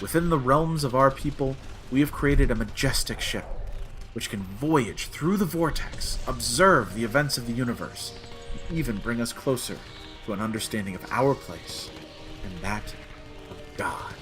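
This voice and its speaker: Animated man playing an exasperated alien time traveller. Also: mid-range voice, normal speed, faint music behind, lightly acted. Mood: grandiose. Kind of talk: comic audio drama acting.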